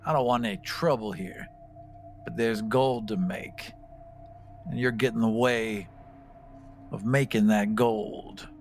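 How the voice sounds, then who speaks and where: A man's voice: on the low side, unhurried, pauses often, soft background music, voice pushed down. A man playing a brash, greedy logging crew boss in a tabletop game, blunt and bossy. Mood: threatening.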